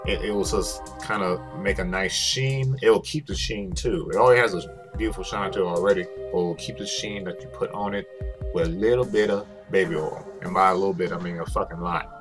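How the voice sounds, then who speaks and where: Low-voiced man, casual and sweary. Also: steady tone, a little fast. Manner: casual, rambling, swears freely. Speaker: host, prop maker. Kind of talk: casual craft tutorial narration. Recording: music behind the voice.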